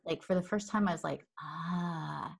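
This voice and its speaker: A woman's voice: sits low, unhurried, creaky, breathy edge. A woman hosting an interview, earnest and wordy, with frequent ums and repeated words. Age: adult with a child. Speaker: interview host. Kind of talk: interview conversation.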